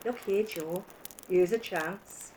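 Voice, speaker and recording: A woman's voice: medium pitch, slow pace, darkish tone, slight background noise, close to an everyday voice, low-quality recording. An actress playing a brazen, self-justifying thieving charwoman in an audio drama. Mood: brazen, scornful.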